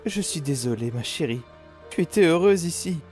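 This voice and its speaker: A man's voice: on the high side, lively intonation, normal speed, darkish tone, soft background music, natural voice. A man voicing a refined, doting demon father, hesitant and tender, in a cartoon fan dub. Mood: apologetic. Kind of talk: animated series fan dub.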